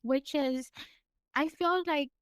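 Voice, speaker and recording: A woman from California with a naturally high-pitched voice and a slight lisp, talking in a casual interview, her words effortful and a little slurred, with frequent laughs. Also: slow pace, dry recording, studio quality. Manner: animated, laughs often, pushes extra hard on words. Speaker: podcast guest.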